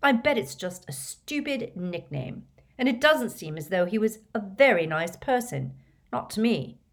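A woman voicing a clever, stubborn schoolgirl who lectures her friends in an earnest, high voice. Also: big pitch swings, unhurried. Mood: defensive.

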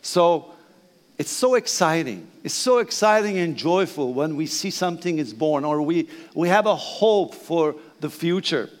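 Older Persian-accented pastor, high voice. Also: male voice, lively intonation. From Iran. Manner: hesitant, halting, repeats phrases. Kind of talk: bilingual sermon. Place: church sanctuary. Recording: reverberant church hall.

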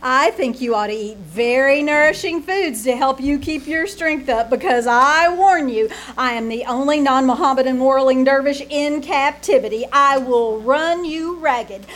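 A woman's voice: high-pitched, moderate pace, few pauses, fairly bright tone. A woman playing a dizzy, boastful young Hollywood starlet in old-time radio comedy style, gushing and theatrical with comic declarations. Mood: brash, boastful. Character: dizzy, hyperactive young female movie starlet, boastful and excitable. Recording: live theater stage with background noise.

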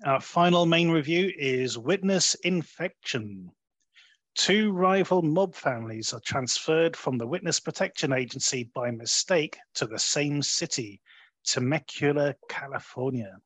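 A man hosting a conversational film review, talking in a halting way and often rephrasing as he goes. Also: fairly high pitch, lively intonation, a bit bright, dry recording. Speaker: film review podcast host.

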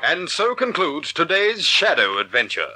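A man's voice: fairly high pitch, very animated, unhurried, loud music behind the voice, poor audio. A man delivering a scripted, dramatic and formal announcement in 1940s radio style.

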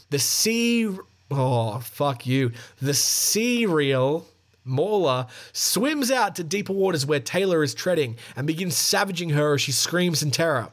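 Guy podcaster reading news out loud, kinda high voice, snarky little asides. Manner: wry, sarcastic running commentary; stumbling, self-correcting reading. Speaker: podcast host.

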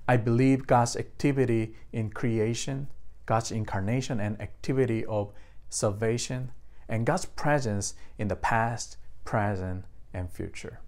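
A man with a Korean accent, a native Korean speaker, preaching as a pastor and addressing his congregation directly. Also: mid-range voice. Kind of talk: sermon.

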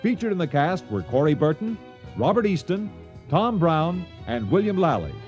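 A man's voice: a little high, big pitch swings, normal speed, a little mellow, dull audio. Man radio announcer reading credits, formal, voice rises and falls a lot, faint music under it. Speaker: staff announcer.

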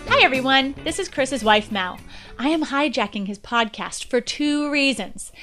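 Higher-pitched American woman presenting. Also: fairly bright tone. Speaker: guest host and presenter. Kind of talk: scripted educational presentation.

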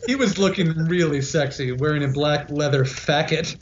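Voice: medium pitch, moderate pace. A podcast narrator giving a comic, mock-earnest dramatic reading of a story. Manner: mock-earnest, keeps misspelt words as written. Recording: muffled, faintly noisy podcast recording.

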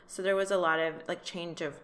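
Bright-voiced woman from Iran, halting. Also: fairly low pitch, fairly even intonation. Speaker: interview guest. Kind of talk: personal story in an interview.

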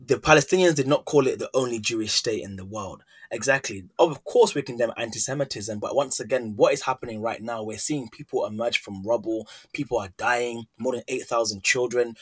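Husky-voiced man host, impassioned. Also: medium pitch, fairly bright tone. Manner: impassioned, builds long questions. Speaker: podcast host. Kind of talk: interview questions.

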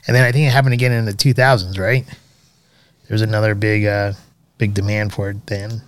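Smooth-voiced man hosting a podcast. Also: lowish voice, level tone, a little fast, no room sound. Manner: hesitant, many "uh" fillers. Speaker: podcast co-host. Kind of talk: casual podcast chat.

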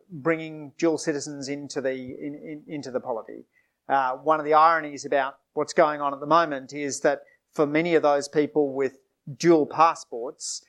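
A man, a politician and author, answering audience questions at a live book discussion in a measured way, with frequent fillers and restarts. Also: medium pitch. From Australia. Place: event hall with audience.

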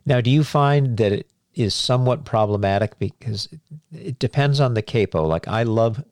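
A man's voice: mid-range voice, normal speed, a little mellow, no room sound, clean studio sound. An older man interviewing a musician, chatting in long, anecdotal questions. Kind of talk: casual interview.

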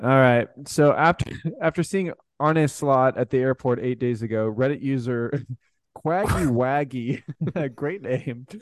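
A man's voice: medium pitch, a little slow, no echo. A man co-hosting a podcast in casual, amused conversation about soccer. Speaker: podcast co-host.

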